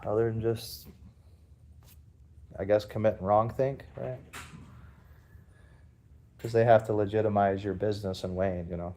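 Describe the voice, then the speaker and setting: A man's voice: flat delivery, a little slow, long pauses, warm tone. A man, livestreaming casual commentary in a public building, rambling and talking straight to his viewers. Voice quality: deep. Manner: rambling, defiant, addresses viewers directly.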